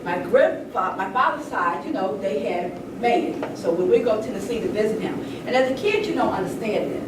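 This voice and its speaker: An older woman speaks in an earnest, emphatic way, as if telling stories to a group. Her voice has a medium pitch. The recording is echoey and picked up from a distance, with clear background noise. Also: animated, a little fast. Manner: earnest, emphatic, conversational storytelling. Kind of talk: impassioned informal public talk. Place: indoor room at a public event. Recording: echoey room, distant mic, noisy background.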